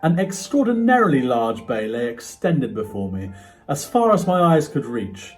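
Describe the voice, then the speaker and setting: A man's voice: medium pitch, big pitch swings, moderate pace, natural voice. A man playing a tormented, unravelling man in a dramatic, intense reading of a classic ghost story. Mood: relieved, marvelling. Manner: theatrical, intense, swinging from hushed dread to agitation. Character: frightened, tormented man slowly unravelling, confessing his night terrors. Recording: music behind the voice.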